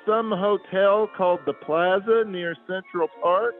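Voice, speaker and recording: Plucky, earnest lost kid played by a man, high pitch, phone-quality sound, faint music. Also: steady tone, measured pace. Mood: earnest, worried. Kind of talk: scripted prank phone call. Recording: tinny phone line, faint music behind.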